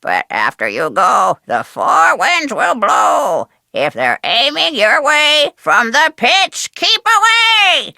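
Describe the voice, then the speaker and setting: A woman's voice: sits high, wildly swooping pitch, slow, gravelly, hardly any pauses, sharp tone, no echo, studio quality, heavily acted. A woman voicing a bossy, cryptic pet parrot in a video game, squawking and speaking in repeated sing-song rhymes. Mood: instructive, playful. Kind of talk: exaggerated video game character acting.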